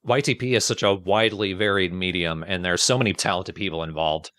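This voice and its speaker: A man with a low voice speaks in a dry, close recording with no room sound. He talks informally and a little self-deprecatingly, like a video creator addressing regular viewers. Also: fairly even intonation, a little fast, fairly bright tone, no room sound. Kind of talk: casual personal reflective talk.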